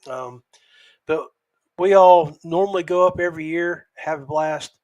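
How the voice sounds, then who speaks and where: A man hosting a podcast, giving a casual, unscripted recap in a halting, folksy, conversational way. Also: on the high side, darkish tone, muffled recording. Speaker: podcast host.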